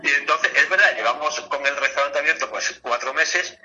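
A man's voice: fairly high pitch, moderate pace, sharp tone, muffled recording. A man with an accent from Spain, talking chattily and repeating himself as a guest in a casual radio interview. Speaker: guest, golf club director.

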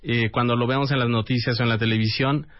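A man's voice: medium pitch, steady tone, fast, no room sound. Mexican guy guest on radio, dry close sound, kinda muffled, friendly explaining. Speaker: interview guest. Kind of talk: radio interview.

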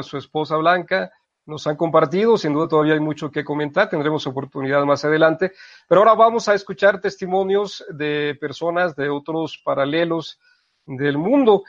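This is a fairly high-voiced man, a priest co-hosting a radio show. He talks in a thoughtful way, often pausing with "eh". The sound is dry and a little dull, like plain studio audio. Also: dry recording. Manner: thoughtful, with frequent hesitations. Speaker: priest co-host.

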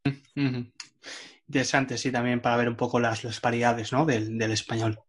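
A man's voice: mid-range voice, level tone, moderate pace, husky. A man hosting an online workshop for teachers, chatty and hesitant with many fillers. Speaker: workshop host for Spanish teachers. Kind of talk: casual online workshop talk.

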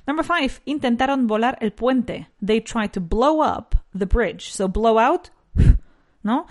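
A woman's voice: a little high, expressive. Woman teaching English with a nasal voice. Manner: repeats sentences slowly, switches between English and Spanish. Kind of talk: bilingual language lesson.

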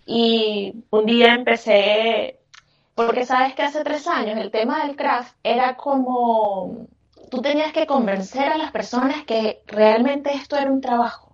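High-voiced Venezuelan woman, echoey and distant. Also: unhurried. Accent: Venezuelan Spanish. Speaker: podcast guest, craft business owner. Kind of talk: conversational interview answers. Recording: echoey, distant, muffled microphone.

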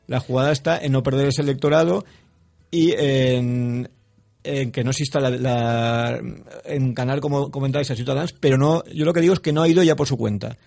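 A man from Spain, with a gravelly, fry-tinged voice, analyzes politics on a radio panel. He speaks in a measured way, working through his points with the occasional restart. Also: medium pitch, darkish tone, soft background music. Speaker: political commentator. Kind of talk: panel debate. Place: radio studio.

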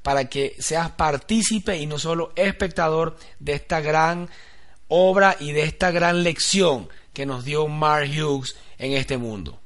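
A man's voice: a little high, takes it slowly, a bit bright. A man narrating a scripted sales training audio in an earnest, instructive way. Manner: earnest, instructive, building to an eager introduction. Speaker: training host.

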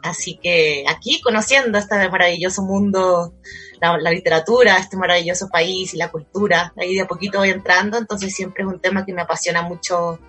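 A woman's voice: fairly low pitch, fairly even intonation, brisk, a bit bright, slightly rough, soft background music. A woman who grew up in Chile, talking as a guest in a casual conversation, thoughtful and full of hesitations and fillers.

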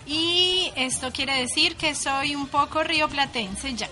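A woman from Colombia, chatting casually as a radio co-host, bubbly and quick to laugh at herself. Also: sits high, sharp tone. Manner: bubbly, laughs often, self-deprecating. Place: radio studio.

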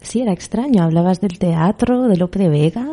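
Radio guest lady, kinda low mellow voice, short answers. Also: moderate pace. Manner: brief replies, laughing along. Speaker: guest actress and theatre teacher. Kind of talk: casual radio interview.

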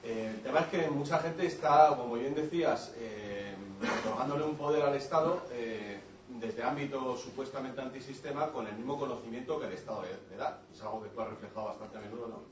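A man from the audience making a comment at a public talk, halting and repeating words, then growing heated. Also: medium pitch, slight background noise. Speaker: audience member. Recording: roomy, dull hall recording from far off.

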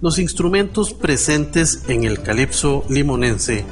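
A man presenting on the radio, reading informative scripted narration in a measured, formal way. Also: fairly high pitch, expressive. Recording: music under the voice. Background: background music.

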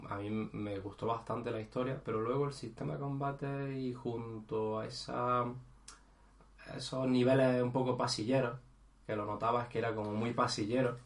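A man's voice: mid-range voice, steady tone, moderate pace, reverberant. A man chatting casually about video games as a podcast co-host, hesitant and full of fillers. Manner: rambling, hesitant, many fillers. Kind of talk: casual gaming chat.

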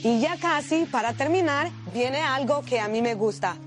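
A woman's voice: high voice, expressive, moderate pace. A woman from Honduras, presenting a scripted instructional charity video. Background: background music.

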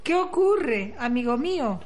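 Woman acting as bold young warrior, high lively voice, slow declamatory lines, amateur radio play. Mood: concerned.

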